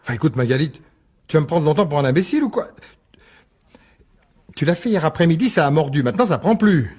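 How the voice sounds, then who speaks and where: A husky middle-aged father in a radio drama. He talks fast in stammered, emphatic bursts, protective of his child and quick to turn indignant. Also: a little high, very animated, a little mellow. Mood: sceptical, stern. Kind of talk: French radio drama acting.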